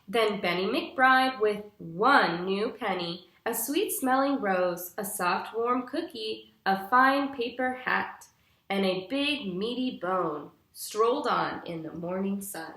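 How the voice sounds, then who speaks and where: Slow, animated young woman reading to children. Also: medium pitch. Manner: deliberate, instructive, clearly phrased storytelling. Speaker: teacher reading aloud to pupils. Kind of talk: picture-book read-aloud for young children. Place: small living room, indoors. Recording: roomy indoor echo.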